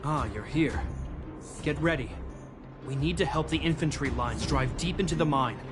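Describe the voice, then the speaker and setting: Game casting: male actor as young army captain, fairly high voice, formal, resolute, music underneath. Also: moderate pace, natural voice. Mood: commanding. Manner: formal, commanding. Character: earnest, duty-bound young military commander, resolute. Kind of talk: video game voice acting.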